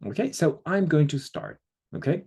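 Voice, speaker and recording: A middle-aged man from Chile giving an online history lecture, speaking haltingly with many fillers and checking in with listeners. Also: fairly high pitch, darkish tone, breathy edge. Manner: slow, hesitant, many fillers, checks in with the audience.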